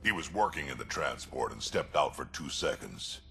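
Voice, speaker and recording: An actor voicing a gruff, blunt alien warrior man with a deep gravelly voice in a video game. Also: lively intonation, normal speed, sharp tone, slight background noise, exaggerated voice. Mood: determined. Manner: blunt, growling threats.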